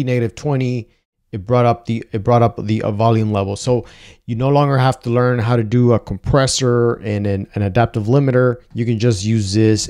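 A young man giving a casual software tutorial, talking through each step with frequent "uh" and "um" fillers, on a lapel mic. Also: lowish voice, clean tone. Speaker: video editing tutor. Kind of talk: screen-recorded software tutorial. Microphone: wireless lapel mic.

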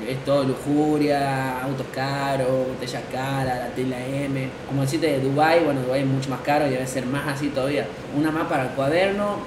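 Laid-back young Argentine streamer, slangy and rambling, echoey room with noise behind. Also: male voice, mid-range voice. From Tucumán, Argentina. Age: young adult. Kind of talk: casual chat on stream.

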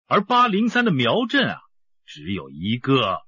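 Radio drama police chief: bossy but caring, forceful, raspy, very animated, dull dry sound. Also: male voice, mid-range voice, a little slow, no echo, exaggerated voice. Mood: caring, insistent. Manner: bossy, insistent, colloquial scolding. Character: gruff, commanding male police chief, firm but caring.

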